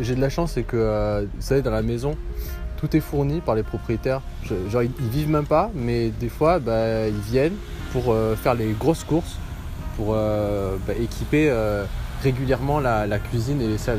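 A man's voice: mid-range voice, normal speed, music in the background. Chatty young man rambling outdoors. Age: 20s. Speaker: vlogger. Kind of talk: casual voice note. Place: outdoors in the cold street.

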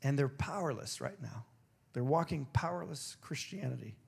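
A man preaching a sermon with a throaty, hoarse voice, moving between emphatic declarations and casual, joking asides. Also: mid-range voice, lively intonation, normal speed, darkish tone. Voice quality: gravelly, throaty. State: hoarse after singing. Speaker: pastor.

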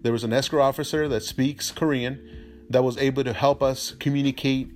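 A man whose first language is Spanish, giving an informal explanatory talk as a real estate agent, halting with frequent mid-sentence pauses. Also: medium pitch, fairly even intonation, a little mellow, faint music behind. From immigrant to the US from a Spanish-speaking country.

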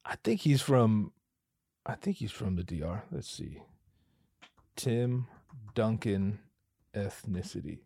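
A man's voice: fairly low pitch, lively intonation, takes it slowly, gravelly, pauses often, warm tone, husky, dry recording. A man from the US Northwest hosting a solo comedy podcast, rambling and cursing with lots of restarts. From US West Coast, Pacific Northwest. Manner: profane, rambling, self-interrupting. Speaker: podcast host.

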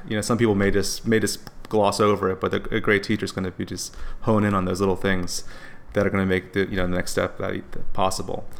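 A man hosting a casual interview, asking rambling questions with many restarts. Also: fairly low pitch. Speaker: podcast host.

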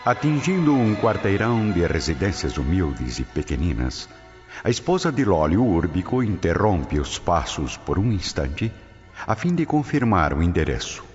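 A man's voice: low-pitched, sing-song, moderate pace. A man with a Brazilian accent narrating a radio drama from a script, solemn, formal and theatrical. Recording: dull audio, long reverb, music behind.

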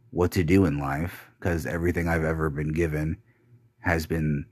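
Deep, slow-talking young man, bitter. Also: no room sound, clean studio sound. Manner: bitter, blunt and profane; slow, heavy delivery with trailing pauses. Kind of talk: personal confessional monologue.

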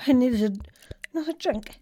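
A woman's voice: sits high, normal speed, mellow, breathy edge. A woman voicing a tipsy, rambling woman who heckles a podcast advert in a blunt, muddled, repetitive way.

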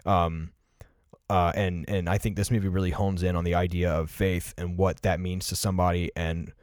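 A young man with a low-pitched voice talks in a relaxed, conversational podcast style. He explains his ideas reflectively, peppering them with filler words. The recording is dry, with very little room sound. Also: moderate pace, no echo. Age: early 20s. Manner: reflective, explanatory, filler-heavy with "like" and "you know". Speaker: podcast co-host discussing favorite films.

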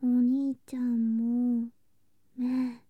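A woman playing an affectionate, clingy younger girl cousin in an intimate bedtime ASMR drama, speaking softly and breathily, often in whispers, drowsy and coaxing. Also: fairly high pitch, level tone, extremely slow, no echo, narrow, tinny audio. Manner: soft, drowsy, coaxing, sweetly childlike.

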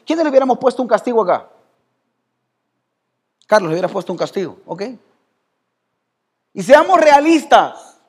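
A Salvadoran pastor preaching to his church in a high voice. He talks conversationally and quizzes listeners by name. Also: sing-song, moderate pace, long pauses, husky. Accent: Salvadoran Spanish. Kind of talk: sermon. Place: church hall.

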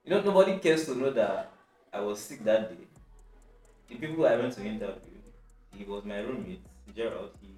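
A young man chatting casually as a podcast host, restarting often and jumping up in pitch when he gets excited. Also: normal speed, far from the mic. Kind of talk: casual chat. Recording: echoey room, set back from the mic.

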